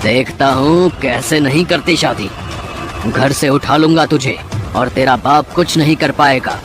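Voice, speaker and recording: A man's voice: medium pitch, measured pace, music in the background, close to an everyday voice. A man playing a greedy, bullying village moneylender in a cartoon moral story, smug and menacing in manner.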